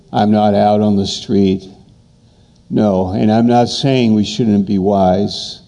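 Male pastor, dark mellow tone, unhurried emphatic sermon delivery. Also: fairly low pitch, creaky. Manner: repetitive, emphatic preaching with pauses.

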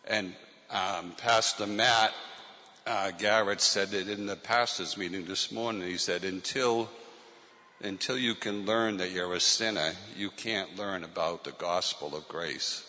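A man's voice: low voice, measured pace, bright, forceful tone, long reverb tail. A man with a Boston accent, a pastor giving an earnest, confessional testimony in a panel talk. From Boston, Massachusetts.

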